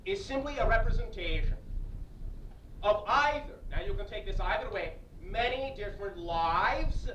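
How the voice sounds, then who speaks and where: A man channeling an alien entity who lectures on metaphysics with emphatic, staccato stresses and deliberate repetition. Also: sits high, pushed and bright. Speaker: channeler addressing a live group. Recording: echoey far-off 1980s cassette, faint hiss.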